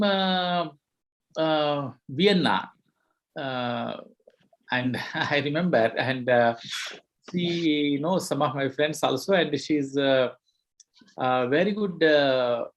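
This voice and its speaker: A man moderating an online conference, introducing a speaker hesitantly with many fillers and repeated words. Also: mid-range voice.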